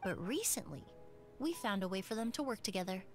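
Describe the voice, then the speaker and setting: A woman's voice: medium pitch, brisk, close to an everyday voice. A woman voicing a composed young perfumer. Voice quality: calm, composed. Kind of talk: video game voice acting. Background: game music.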